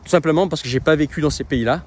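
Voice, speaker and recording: A man's voice: fairly high pitch, fast, darkish tone, slight background noise. A man talking casually in a vlog, with lots of hesitations and restarts. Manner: conversational, many fillers and restarts. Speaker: web entrepreneur and coach.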